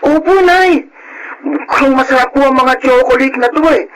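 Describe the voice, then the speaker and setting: Polite, grateful child, Filipino accent, very high-pitched performer, radio drama. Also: normal speed, close to an everyday voice, low-quality recording.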